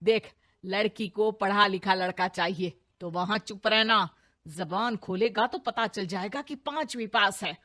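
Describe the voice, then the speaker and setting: A woman's voice: mid-range voice, measured pace, bright, forceful tone. A woman playing a bossy, greedy middle-aged mother-in-law in a cartoon story, nagging and sarcastic.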